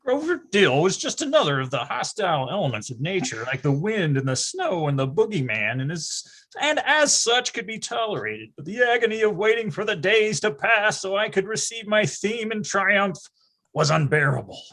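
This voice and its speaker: A performer playing an excitable, grandiose narrator recalling a nine-year-old's boyhood in a theatrical readers theatre style. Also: mid-range voice, normal speed.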